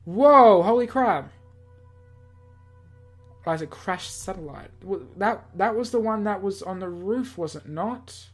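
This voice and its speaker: A let's play host talks through a visual novel at a middling pitch. The delivery is rambling and jokey, with repeated words and stumbles between bits of read-aloud text. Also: lively intonation, a little slow, faint music behind. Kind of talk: gaming commentary with read-aloud dialogue.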